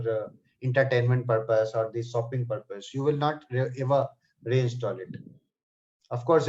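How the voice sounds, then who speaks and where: A man's voice: mid-range voice, a little mellow. Guy teaching testing over a video call, keeps saying okay and right, kinda dull lo-fi audio. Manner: addresses students by name, frequent "okay" and "right". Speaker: software testing trainer. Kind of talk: live online class lecture. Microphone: computer mic on a video call.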